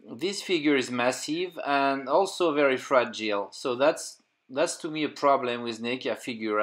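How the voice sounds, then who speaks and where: A man's voice: medium pitch, a bit bright. A middle-aged man with a French accent, French his first language, reviewing a toy in casual English with many fillers and restarts while he hunts for words. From France. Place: indoor room full of figures and display cases.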